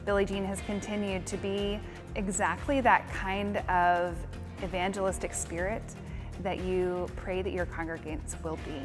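A woman pastor in her thirties speaking in a measured, articulate way in an interview inside a church. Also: mid-range voice, little pitch movement, over music. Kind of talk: interview testimony. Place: indoors in a church.

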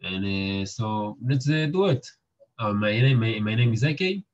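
A young man with a strong Israeli accent, hosting a book club and reading aloud with halting restarts and fillers. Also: fairly low pitch, animated, highs cut off. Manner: halting, with many fillers and restarts, repeating words.

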